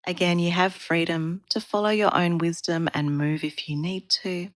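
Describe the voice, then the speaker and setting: A woman guiding a relaxation practice as a yoga therapist, speaking in a calm, soothing, unhurried manner with pauses. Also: on the low side, no room sound. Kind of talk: guided meditation narration.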